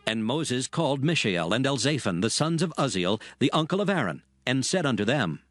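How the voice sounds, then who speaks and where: A man narrating scripture from a script in a measured, solemn manner. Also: mid-range voice, very animated, no room sound, studio quality. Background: music.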